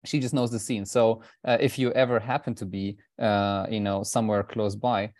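Male podcast host, lowish voice, dry close sound, talks loosely with lots of "uh"s. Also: fairly even intonation, normal speed, darkish tone, no room sound. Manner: rambling, many fillers and restarts. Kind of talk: casual interview intro.

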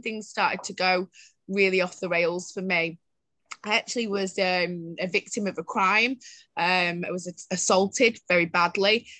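A woman's voice: mid-range voice, no room sound. Chatty woman in her thirties. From Greater Manchester, England. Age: 30s. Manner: chatty, animated, with frequent restarts. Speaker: podcast guest, startup founder. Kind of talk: podcast interview storytelling.

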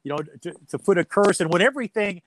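A man's voice: high-pitched, lively intonation, fast talker, fairly bright tone, a little hiss or hum. A man speaking as a sports announcer being interviewed, chatting casually in a folksy, joking way with dry humour. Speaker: radio sports play-by-play announcer as interview guest.

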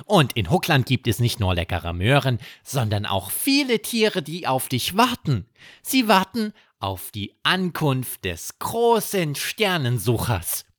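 A man's voice: medium pitch, very animated, measured pace, fairly bright tone, no echo, studio quality, voice pushed up. A man voicing a cheeky, worldly-wise toy rabbit in a children's story, teasing and humming between remarks. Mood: teasing, knowing.

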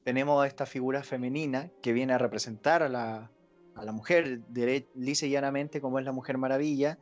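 A man's voice: medium pitch, steady tone, fairly quick, dull audio, soft background music. A man co-hosting a podcast who explains his points methodically, one after another. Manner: explanatory, lays out points in a lecturing way. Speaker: podcast co-host. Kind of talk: casual chat.

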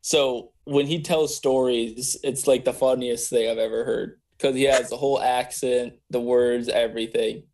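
A man's voice: medium pitch, steady tone, moderate pace, hardly any pauses, a little mellow. A man co-hosting a casual podcast, easygoing and thoughtful, with fillers as he thinks. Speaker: podcast co-host. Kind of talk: casual chat.

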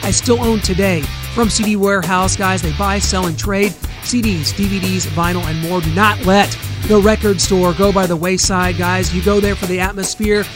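A man's voice: high-pitched, fairly even intonation, normal speed, hardly any pauses, over music. A man hosting a radio interview, asking eager, rambling questions with frequent restarts. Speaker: radio show host.

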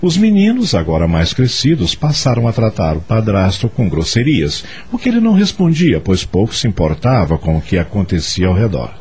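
A man's voice: lowish voice, very animated, normal speed, hardly any pauses, a little mellow, breathy edge. A man with a Brazilian accent narrating a scripted radio drama.

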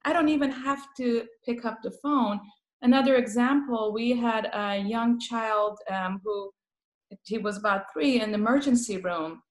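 A woman's voice: on the high side, moderate pace, reverberant. A woman from Iran with a Persian accent, speaking as a palliative care guest and telling stories with laughs. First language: Farsi. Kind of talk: casual interview talk.

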